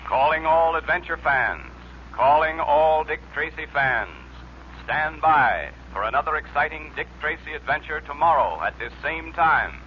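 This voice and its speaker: A man's voice: a little high, lively intonation, measured pace. A man announcing in the style of a police dispatch on an old radio serial. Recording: dull, noisy old radio broadcast.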